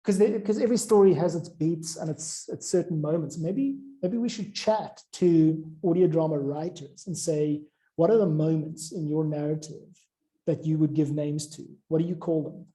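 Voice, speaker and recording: A man's voice: on the high side, normal speed, warm tone, poor audio. A man in an informal, discursive chat, explaining his ideas as he goes. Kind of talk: informal chat about craft.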